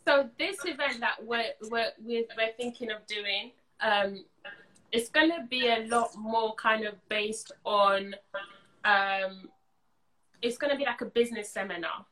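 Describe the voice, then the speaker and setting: Bright-voiced woman in casual, earnest chat. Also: fairly high pitch, measured pace, poor audio. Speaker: event organiser being interviewed.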